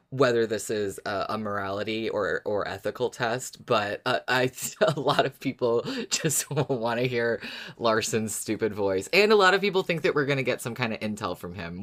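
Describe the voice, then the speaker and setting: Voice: medium pitch, a bit bright. A podcast co-host chatting casually about a story, musing and thinking aloud.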